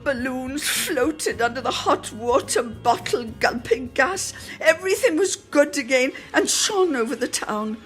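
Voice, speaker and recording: A woman giving a dramatic literary reading, measured and expressive, lingering on her phrases. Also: high voice, faint music behind. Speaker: dramatic reader.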